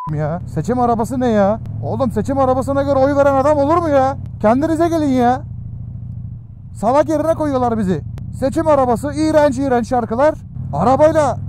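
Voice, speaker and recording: A middle-aged man talking casually while riding a motorcycle through city traffic, chatty and ranting at other drivers. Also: sits high. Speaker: motovlogger. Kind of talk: casual ride commentary. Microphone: helmet or action camera mic. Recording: motorcycle ride with clear road noise. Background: traffic and wind noise.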